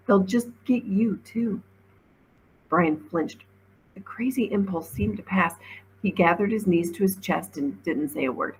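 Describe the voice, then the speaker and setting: A woman narrates a story in a fairly low voice. She reads expressively, as a teacher reading aloud. The recording has faint background noise. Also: moderate pace, low-quality recording.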